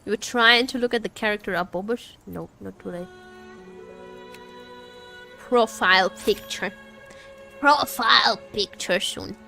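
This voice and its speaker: A woman's voice: medium pitch, unhurried, creaky, long pauses, sharp tone, soft background music, low-quality recording. A woman live-streaming a game, chatting casually and expressively, often repeating her phrases. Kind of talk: casual livestream chat while gaming.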